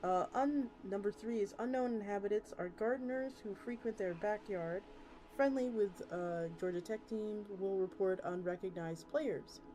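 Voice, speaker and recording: A woman's voice: mid-range voice, moderate pace, warm tone, slight background noise, poor audio. A woman livestreamer reading a story aloud with acted dialogue and chatting casually with her viewers. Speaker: VTuber streamer. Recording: music playing behind the voice. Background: music.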